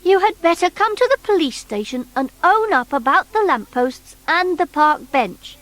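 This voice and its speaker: A woman voicing a small, earnest, excitable toy boy in a children's storybook, with an emphatic, childlike delivery. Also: very high voice, normal speed, no room sound, pitched above the performer's own voice, poor audio. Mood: cross, scolding.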